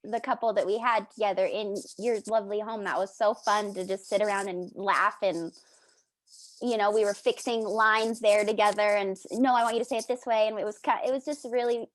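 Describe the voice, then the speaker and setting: A woman's voice: mid-range voice, fairly even intonation, fairly quick. A woman with a distinctive, youthful, almost childlike voice, chatting casually as an actress on a panel. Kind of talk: casual chat.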